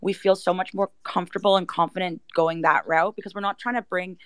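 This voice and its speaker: A woman's voice: fairly low pitch, fairly even intonation, fairly quick, clear, resonant tone, no echo, clean studio sound. A woman explaining things casually as a team member on a panel, earnest and conversational.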